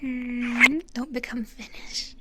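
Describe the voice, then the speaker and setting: A young woman from the United States, a VTuber whispering through an ASMR livestream, greeting her chat softly with little kiss sounds. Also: fairly high pitch, fairly even intonation, slow, heavy background noise. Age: young adult. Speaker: VTuber streamer.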